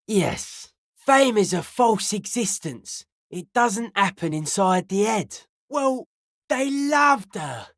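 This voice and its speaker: A woman's voice: medium pitch, no room sound, studio quality. A woman voicing an earnest young male raver and amateur psychic in a video game, slangy, cryptic and matter-of-fact. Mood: brooding. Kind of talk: video game character dialogue.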